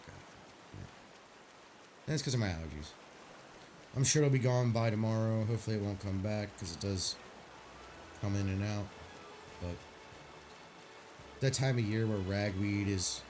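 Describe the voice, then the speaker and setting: A man streaming a game, chatting casually and rambling, stuffy from a sinus headache. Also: lowish voice. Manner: rambling, laid-back, swears casually.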